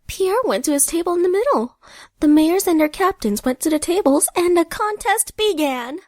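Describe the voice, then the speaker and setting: A woman's voice: very high voice, lively intonation, moderate pace, a little mellow, no room sound, clean studio sound. A woman narrating a comic scripted story with a wry touch.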